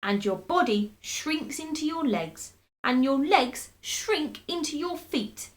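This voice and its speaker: Woman doing a gloating, spiteful old husband, slow. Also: sits high, expressive, pitched above the performer's own voice. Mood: mock solemn. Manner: taunting, drawn-out mock solemnity.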